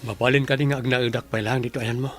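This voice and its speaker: A man's voice: mid-range voice, fairly even intonation, fast, fairly bright tone, soft background music, lightly acted. A man with an Ilocano Filipino accent playing a troubled, earnest young man in a radio drama. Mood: earnest, subdued.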